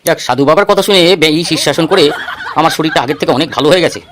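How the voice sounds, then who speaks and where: A man acting out a naive comic cartoon buffoon, earnest and a little plaintive. He talks very fast in a slightly high voice, with faint noise behind him. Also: fairly bright tone, lightly acted. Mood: hopeful. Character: gullible, hopeful bald man, comic buffoon.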